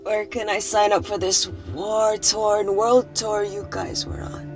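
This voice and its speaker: A woman's voice: medium pitch, flat delivery, unhurried, soft background music, lightly acted. An actress playing a wounded, sardonic young woman intern in a naturalistic film drama. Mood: bitter.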